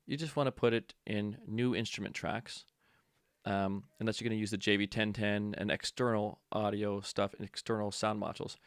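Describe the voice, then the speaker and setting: A man with a low voice and plenty of fry, talking through a software walkthrough like an instructor. He is informal and offhand, thinking aloud as he goes. The sound is close and dry. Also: dry recording. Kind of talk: casual software tutorial walkthrough.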